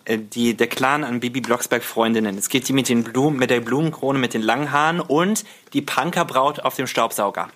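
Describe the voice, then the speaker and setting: A man's voice: mid-range voice, fairly quick, few pauses, a bit bright. A man as a podcast guest, bantering casually and playfully and dropping English slang into his colloquial speech.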